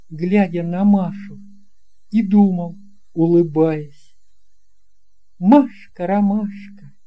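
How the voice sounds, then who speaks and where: A woman's voice: sits low, warm tone. A woman reading a children's story as scripted narration.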